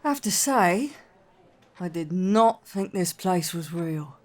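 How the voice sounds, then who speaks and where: A woman's voice: on the low side, lively intonation, slow pace. A woman playing a sceptical, down-to-earth blacksmith in a fantasy audio drama, dry and blunt. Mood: wry. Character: sceptical, wry female blacksmith, down-to-earth tavern patron.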